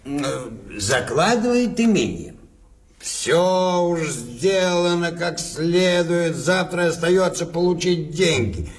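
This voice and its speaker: High-voiced, wheedling provincial clerk. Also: male voice, animated, takes it slowly. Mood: confiding. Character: wheedling, self-satisfied, bribe-taking provincial Russian clerk. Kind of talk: theatrical classic comedy radio play acting.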